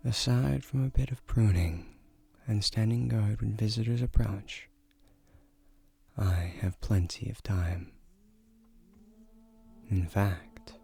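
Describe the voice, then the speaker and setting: Man voicing a slow, low, tender ancient guardian spirit. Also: dark tone. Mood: delighted, tender. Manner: formal, measured, soothing, seductive, often breathy near-whisper. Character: ancient male guardian spirit of a magic tree, tender, courtly suitor. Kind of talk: romantic scripted audio roleplay.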